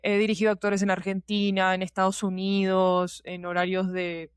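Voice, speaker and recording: A woman's voice: mid-range voice, completely flat delivery, normal speed, clean tone, no echo. Latin American woman in casual podcast conversation, dry sound. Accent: Latin American Spanish with voseo. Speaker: guest, podcast fiction producer.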